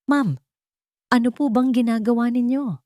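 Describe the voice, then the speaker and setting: Woman acting a higher-pitched, nervous scheming housemaid. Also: moderate pace, darkish tone, no echo, clean studio sound, natural voice. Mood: panicked. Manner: pleading, nervous. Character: scheming housemaid, anxious, falsely polite, desperate.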